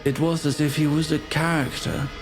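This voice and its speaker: A man playing a knowing storyteller, narrating a dark visual novel tale in a deliberate, musing, theatrical manner. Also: a little high, level tone, music in the background, lightly acted. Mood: detached, ominous. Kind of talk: scripted dramatic narration.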